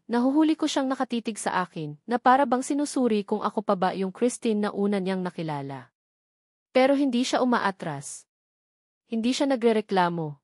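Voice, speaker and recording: A woman's voice: mid-range voice, a little mellow, no room sound, studio quality. A woman narrating a scripted first-person love drama as a storyteller.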